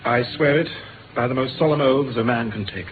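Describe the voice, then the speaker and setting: An actor playing an earnest, anguished English gentleman confessing his secret in a 1950s British radio drama. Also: mid-range voice, brisk, natural voice. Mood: solemn. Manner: earnest, hesitant, confessional storytelling. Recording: tinny noisy old radio recording.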